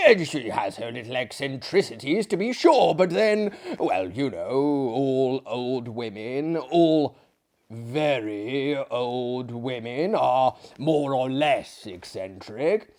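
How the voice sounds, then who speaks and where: A man's voice: medium pitch, vocal fry, exaggerated voice. A man voicing a genial but easily indignant asylum director in a storybook reading, emphatic and theatrical.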